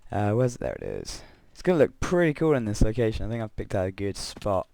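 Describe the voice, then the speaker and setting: A young man with a croaky voice from being unwell, rambling through gameplay commentary and a story, correcting himself often with chuckling asides. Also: on the low side, wildly swooping pitch, fairly quick, warm tone, no echo. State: croaky voice from illness. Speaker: Minecraft let's player.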